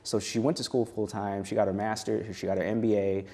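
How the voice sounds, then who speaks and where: Low-voiced thoughtful man in his thirties. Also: flat delivery, mellow. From United States. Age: 30s. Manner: thoughtful, measured, personal. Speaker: guest poet and educational consultant. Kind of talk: reflective interview answers. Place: television studio.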